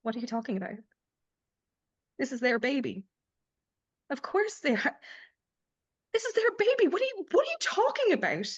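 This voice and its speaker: A woman playing a sardonic, anguished mother in a dramatic table read, emphatic and halting when upset, on dull lo-fi audio. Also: high voice, big pitch swings. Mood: panicked. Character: Lily, sardonic young mother, anguished, fiercely emotional. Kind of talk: live screenplay table read, dramatic acting.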